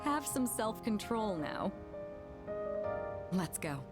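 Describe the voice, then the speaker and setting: Formal, authoritative young woman, mid-pitched. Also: big pitch swings. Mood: firm. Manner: formal, deliberate. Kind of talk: dramatic video game voice acting. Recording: music over the voice.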